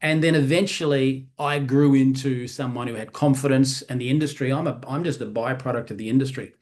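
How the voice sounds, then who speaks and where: Animated Australian man in his fifties. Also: medium pitch, fairly quick. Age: 50s. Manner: animated, peppers talk with "right?". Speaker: sales trainer. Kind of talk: motivational training talk.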